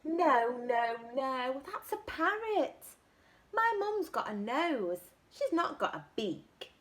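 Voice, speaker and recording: A woman voicing an anxious little monkey searching for its mum, reading rhymes in a sing-song way with high cries of protest. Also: very animated, takes it slowly, fairly bright tone, full character voice. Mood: fretful. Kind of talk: storybook read-aloud.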